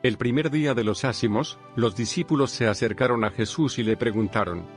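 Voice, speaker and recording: Male narrator for audio drama scripture, steady, faint music. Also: mid-range voice, brisk.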